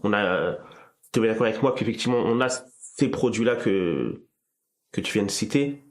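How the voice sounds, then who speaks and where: A man's voice: fairly low pitch, expressive, normal speed. A man hosting a casual discussion, thinking aloud with frequent restarts and repeated words. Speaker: podcast host.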